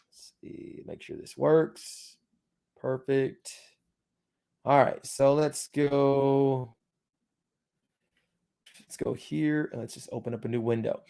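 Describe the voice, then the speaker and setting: A man's voice: medium pitch, little pitch movement, takes it slowly, vocal fry, long pauses, mellow, clear, resonant tone. Rambling man talking through dental aligners. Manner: loose and rambling, many ums and you-knows. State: speech slightly thickened by dental aligners. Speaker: crypto channel host. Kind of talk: casual tutorial talk to viewers.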